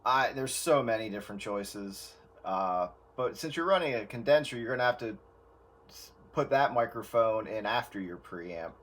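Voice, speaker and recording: Man, fairly low voice, informal explainer in a technical radio chat. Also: animated, moderate pace, a bit bright. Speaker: audio gear hobbyist giving advice.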